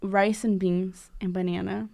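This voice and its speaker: Chatty young woman, co-host, mid-range voice with some creak. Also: mid-range voice, flat delivery, a little slow, warm tone. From Brazil. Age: 20s. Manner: chatty, giggly, lots of "like". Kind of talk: casual chat.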